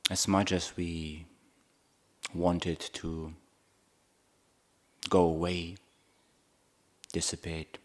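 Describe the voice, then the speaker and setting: A man guiding a meditation as a Buddhist teacher, speaking in short, measured phrases with long pauses between them. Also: sits low, flat delivery. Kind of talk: guided meditation talk.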